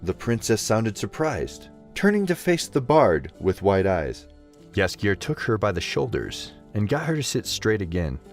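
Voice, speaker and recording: A man's voice: fairly low pitch, very animated, measured pace, faint music behind. A man narrating a scripted fantasy story expressively as the narrator of an audio drama.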